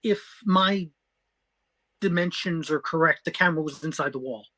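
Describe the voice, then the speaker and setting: A woman's voice: low-pitched, normal speed, bright, forceful tone. A woman co-hosting a review podcast, chatting in an emphatic, opinionated way with frequent interjections. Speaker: podcast co-host. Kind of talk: animated review chat.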